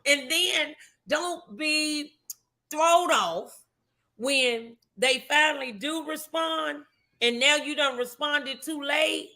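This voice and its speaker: A woman's voice: sits high, slow, pushed and bright. A woman hosting a motivational talk show, speaking in an encouraging, emphatic, preachy way.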